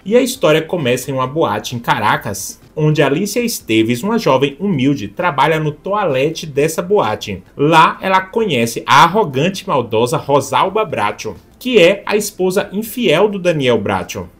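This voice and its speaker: Lively Brazilian man narrating, higher-pitched voice. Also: moderate pace, few pauses, slightly rough, faint music behind. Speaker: YouTube host. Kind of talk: scripted video narration about TV history.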